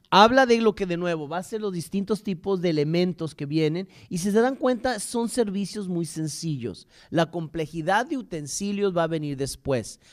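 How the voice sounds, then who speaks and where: A man from Mexico with a Mexican accent speaks as a lecturer in a fairly high voice for a man. His delivery is informal and rambling, halting over repeated words and self-corrections as he explains history.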